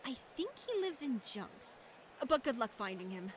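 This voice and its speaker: A woman's voice: on the high side, big pitch swings, normal speed, slight background noise. A woman playing a blunt, street-smart local in a visual novel, speaking in a slangy, matter-of-fact way. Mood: casual, candid. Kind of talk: visual novel character dialogue.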